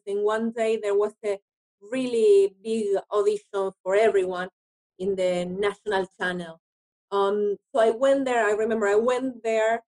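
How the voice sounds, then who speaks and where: A woman's voice: fairly high pitch, a little mellow, rough recording. Argentinian woman with a Spanish accent. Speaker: guest, actress. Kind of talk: conversational interview.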